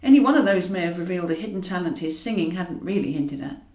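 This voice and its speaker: A woman reading comic fiction aloud as a scripted audiobook, in a wry and expressive manner. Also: fairly low pitch, fast talker. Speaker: author reading own novel. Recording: roomy echo, distant from mic.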